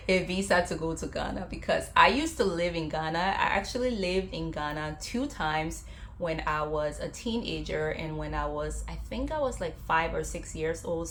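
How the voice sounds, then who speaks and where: Young woman from Liberia, travel vlogger; lowish, conversational, story-driven delivery; faint background hiss. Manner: chatty storytelling, acts out quotes with lively jumps. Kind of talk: casual explainer to viewers.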